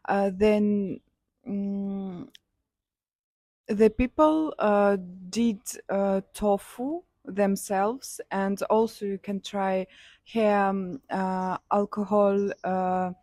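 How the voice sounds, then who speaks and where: Young kazakh woman, slow and unsure, lots of uhs, dry close sound. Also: mid-range voice, dark tone, no room sound. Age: young adult. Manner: hesitant, many fillers, simple non-native English. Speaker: interviewee, student. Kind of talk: street interview answers.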